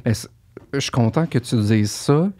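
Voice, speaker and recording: A man's voice: medium pitch, moderate pace, darkish tone. A man with a Québécois accent, hosting a casual podcast interview, reflective and earnest as he speaks personally. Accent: Québécois French. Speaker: podcast host.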